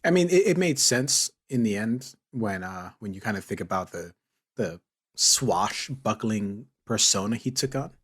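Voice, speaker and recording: Lively husky-voiced man, joking around. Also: lowish voice, a little slow. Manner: jokey, playfully dramatic. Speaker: podcast co-host. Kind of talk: casual chat.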